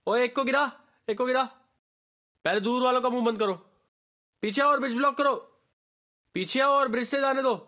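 A man's voice: very high voice, normal speed, long pauses. A man voicing a brash, streetwise gamer barking slangy squad callouts for a game voice pack. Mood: excited, urgent. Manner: animated, slangy, bossy.

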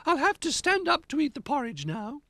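Mischievous toy rabbit, shrill falsetto, exclaiming, bouncy, animated, storybook. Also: male voice, fairly quick, music in the background, heavily acted. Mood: sheepish, sleepy. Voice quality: squeaky falsetto. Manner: exclaiming, theatrical.

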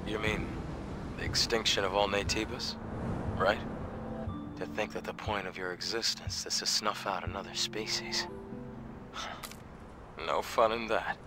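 An actor playing a calm, upbeat young male scout companion in a video game, with a reassuring, conversational manner. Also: on the low side, a little slow, long pauses, lightly acted. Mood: reflective. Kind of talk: video game cutscene voice acting. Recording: background music under the voice.

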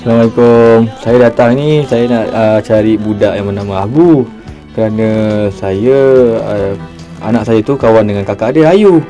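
A man's voice: lowish voice, moderate pace, dark tone, soft background music, natural voice. A man voicing a kindly, polite middle-aged father in a cartoon. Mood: polite, hesitant. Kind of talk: cartoon voice acting.

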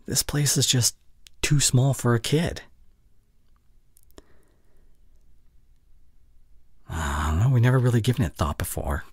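A young man playing a caring, anxious but reassuring boyfriend in an intimate ASMR audio roleplay, speaking in a tender, halting way with a breathy voice that often drops into whispers. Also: mid-range voice, big pitch swings, no echo, clean studio sound. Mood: hesitant, worried.

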